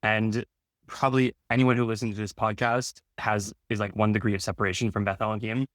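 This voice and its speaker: A man with a lowish voice, speaking bluntly and wryly. His tone stays matter-of-fact as he talks about growing up. The recording is dry and close. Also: little pitch movement, fairly quick, no room sound. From Brooklyn, New York. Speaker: podcast guest. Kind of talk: casual frank conversation.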